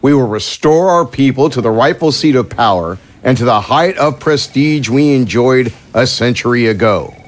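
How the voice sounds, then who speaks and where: A man's voice: mid-range voice, animated, normal speed, few pauses, close to an everyday voice. A man playing a loyal aide who delivers military dispatches in an audio drama. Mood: declaiming.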